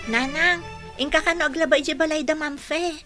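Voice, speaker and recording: Woman acting an earnest, high-voiced schoolgirl daughter. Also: fairly even intonation, brisk, music in the background, natural voice. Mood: eager, respectful. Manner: earnest, polite. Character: poor, dutiful young schoolgirl daughter. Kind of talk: Filipino radio drama acting.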